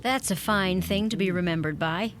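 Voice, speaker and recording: An actress playing a gentle, polite young American woman in a radio play. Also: on the low side, normal speed, faint music behind, close to an everyday voice. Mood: interested.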